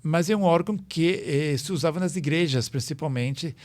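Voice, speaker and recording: A man's voice: a little high, moderate pace, a little mellow. A Brazilian-born man, a conductor guest on a podcast, explaining in a plain, listing way with frequent restarts. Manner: explanatory, restarts and lists things. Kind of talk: casual podcast interview.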